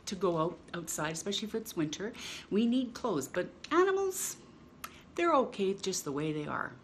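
A middle-aged woman hosting storytime, reading to children in an expressive, drawn-out way with playful emphasis. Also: medium pitch, slight background noise. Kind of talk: reading a picture book aloud to children. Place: indoors in a home room.

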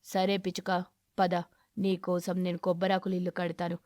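A woman's voice: on the low side, monotone, normal speed, darkish tone, no room sound, studio quality, voice pushed down, natural voice. A woman voicing a predatory storybook eagle, speaking in a threatening, bargaining manner. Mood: menacing. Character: menacing predatory eagle, slow to understand.

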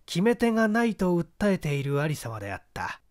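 Male narrator for mystery audiobook, higher-pitched voice, animated intonation. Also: a little fast. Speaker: audiobook reader, stage actor. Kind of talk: scripted literary narration of a mystery story.

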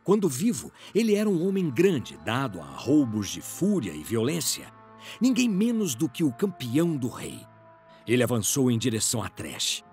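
A man's voice: medium pitch, wildly swooping pitch, moderate pace, darkish tone, soft background music. A man with a Brazilian accent giving dramatic scripted narration for a fantasy audio drama.

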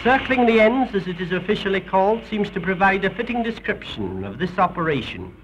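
An old, dull-sounding film soundtrack with some noise carries a British man's voice. He narrates like a 1930s documentary presenter, formal and a little theatrical. His delivery swings in a lively way through the phrases. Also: sits high, fairly quick.

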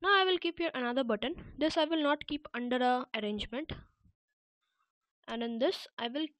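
High-voiced woman giving a halting software tutorial. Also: dry recording. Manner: halting, self-correcting delivery. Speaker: app-building tutorial presenter. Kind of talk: unscripted step-by-step screen tutorial.

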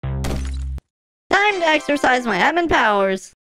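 Casting: male actor as stern female cartoon admin, high-pitched, drawn-out delivery, faint music. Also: wildly swooping pitch, bright, forceful tone, exaggerated voice. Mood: pleased. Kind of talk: cartoon animation voice-over.

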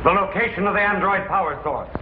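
An actor playing a coolly sarcastic, commanding alien villain in a 1950s television sci-fi serial. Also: male voice, sits high, quick pace, background noise, close to an everyday voice. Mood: menacing, impatient. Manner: commanding, mock-polite. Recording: muffled, echoey 1950s TV soundtrack, loud music.

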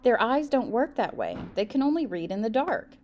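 A matter-of-fact little schoolgirl from a children's storybook, played by a woman reader. She is observant and emphatic, with a slightly high, lively pitch. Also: moderate pace, a little hiss or hum.

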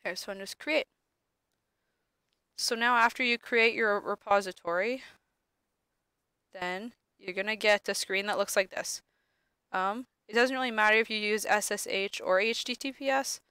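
A young woman lab instructor, sniffly from allergies, talking casually through a screen-recorded software tutorial with many ums. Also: mid-range voice, moderate pace, long pauses, clean tone, no echo.

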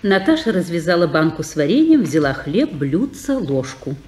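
Calm female narrator for stage directions: warm, low, expressive. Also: normal speed.

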